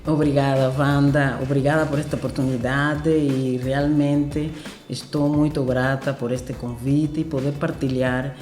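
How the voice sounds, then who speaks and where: A Cuban-accented woman in her sixties talks hesitantly, often trailing into fillers and restarts. Her voice is warm and mellow. It sounds roomy and echoey. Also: very deep voice, flat delivery, measured pace, soft background music. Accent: Cuban Spanish. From Cuba. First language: Spanish. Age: 60s. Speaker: guest. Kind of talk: podcast interview, recalling her career.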